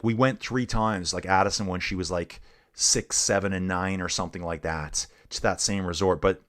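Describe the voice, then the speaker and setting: A middle-aged man casually commenting on a bike race live and chatting with viewers, laid-back and saying "dude" a lot. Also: deep voice. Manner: laid-back, says "dude" often, reads chat aloud.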